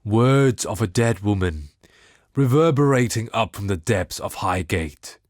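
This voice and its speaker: Brooding game mystic: solemn, cryptic, lowish voice, very slow portentous delivery. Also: male voice, animated, darkish tone, no room sound, clean studio sound. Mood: dark, portentous. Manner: measured, portentous, wryly philosophical. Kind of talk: dramatic scripted video game monologue.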